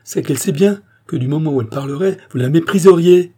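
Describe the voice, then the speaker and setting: Audiobook role: imperious, anguished queen, performed by a man, raised husky voice, breathless emphatic delivery. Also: mid-range voice, moderate pace, warm tone, pitched above the performer's own voice, close to an everyday voice. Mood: bitter pain. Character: proud, jealous, anguished queen, imperious and feverish. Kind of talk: dramatic audiobook character acting.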